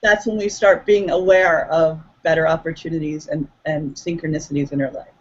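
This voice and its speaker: A woman's voice: deep voice, fairly even intonation, fairly quick, lo-fi sound, a little hiss or hum. A woman appearing as a guest coach in an online interview. She speaks earnestly and hesitantly, with frequent ums.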